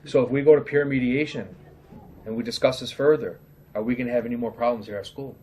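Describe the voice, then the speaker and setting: A man's voice: medium pitch, steady tone, fast, darkish tone, slight background noise, lightly acted. A man playing a stern, orderly school disciplinarian in an amateur dramatization, questioning in a firm, formal way. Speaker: school administrator questioning students.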